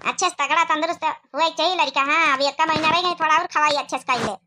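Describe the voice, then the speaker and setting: A woman's voice: sits high, quick pace, pushed and bright. A woman voicing a commanding older village mother-in-law with an Awadhi accent in a cartoon comedy. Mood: bossy. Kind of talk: rural cartoon comedy dialogue.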